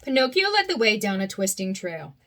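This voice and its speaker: A young adult woman reading a children's storybook aloud with an expressive, animated delivery. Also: medium pitch, brisk.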